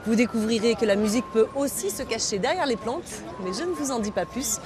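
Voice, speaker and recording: A woman presenting a TV music segment, delivering a clear, scripted sign-off. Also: a little high, animated, a little fast. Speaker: TV show presenter.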